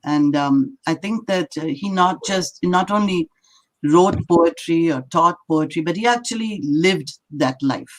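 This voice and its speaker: An older woman from India with an Indian accent, a native Marathi and English speaker, telling stories as an interviewed poet in a thoughtful, halting, lighthearted way. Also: on the low side, slight background noise, rough recording. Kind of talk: reflective conversational storytelling.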